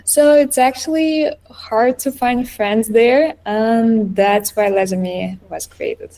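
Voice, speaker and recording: A woman's voice: fairly high pitch, fairly even intonation, slow pace, mellow. A woman from Estonia, answering conversationally and earnestly as an interview guest. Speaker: interview guest, app founder.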